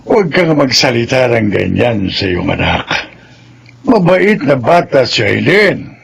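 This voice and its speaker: A man's voice: mid-range voice, sing-song, measured pace, creaky, slight background noise, exaggerated voice. An actor with a Filipino accent playing a kindly, concerned elderly grandfather in a radio soap. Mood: gently reproving.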